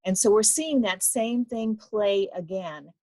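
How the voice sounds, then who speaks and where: A woman's voice: medium pitch, a little slow, dark tone, no room sound. A woman, an association president, interviewing a guest in an attentive, affirming way. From United States. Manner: attentive, affirming, frequent "mm-hmm". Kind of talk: interview conversation.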